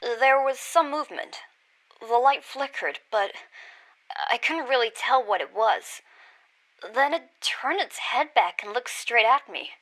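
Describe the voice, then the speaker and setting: A woman voicing a female witness who quietly and uneasily recalls a frightening sighting in the first person. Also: fairly high pitch, animated, unhurried, poor audio. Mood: uneasy.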